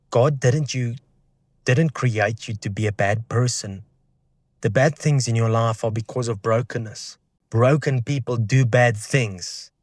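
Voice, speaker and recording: Young man, flat intonation, close dry sound, reflective, earnest, personal testimony storytelling. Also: fairly low pitch, no room sound. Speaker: video creator sharing a personal testimony.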